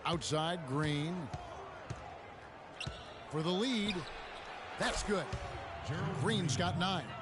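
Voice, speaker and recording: A man's voice: sits high, drawn-out words, long pauses, noisy background. An American man calling a basketball game as play-by-play commentator, in a video game broadcast. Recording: loud music and game sounds behind. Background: music, bouncing basketball, arena sounds.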